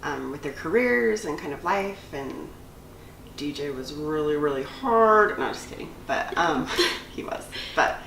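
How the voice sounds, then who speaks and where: Low-voiced woman in her late thirties. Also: expressive, unhurried, creaky, fairly bright tone, echoey room, far from the mic, slight background noise, poor audio. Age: 30s. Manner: rambling, filler-heavy. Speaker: cosmetologist and instructor, channel co-host. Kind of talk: casual chat.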